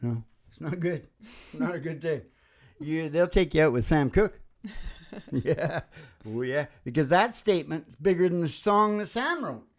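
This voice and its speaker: A woman's voice: deep voice, animated, measured pace. A woman chatting casually and rambling, with an irreverent, salty way of talking, as host of a music show. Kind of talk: casual rambling chat and acoustic country and rockabilly singing.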